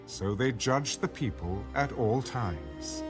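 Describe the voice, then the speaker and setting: A man's voice: fairly low pitch, big pitch swings, slow pace. A man narrating scripture in a scripted audiobook style. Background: music.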